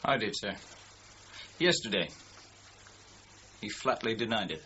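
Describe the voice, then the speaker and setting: A man's voice: on the low side, animated, a little slow, bright, forceful tone. A man playing a dogged British police detective sergeant in a radio crime drama, brusque and matter-of-fact. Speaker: actor. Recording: muffled audio with faint noise.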